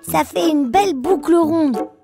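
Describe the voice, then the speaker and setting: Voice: sits high, measured pace, gravelly. A performer voicing an eager, chatty little boy bear cub who explains things simply in a children's cartoon. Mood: chatty, instructive. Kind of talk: children's cartoon, instructive nursery narration. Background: children's music.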